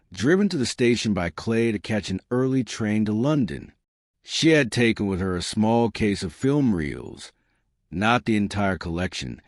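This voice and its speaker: A man narrates a story from a script in audiobook style. His voice sits a little low and moves expressively in pitch. The sound is close and dry, with no room around it. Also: no echo.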